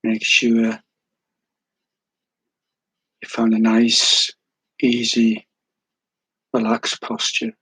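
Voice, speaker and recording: A man leading a guided meditation in a calm, soothing, unhurried way, with a soft, breathy voice and long pauses. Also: medium pitch, dry recording, low-quality recording. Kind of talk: guided meditation, slow spoken instruction.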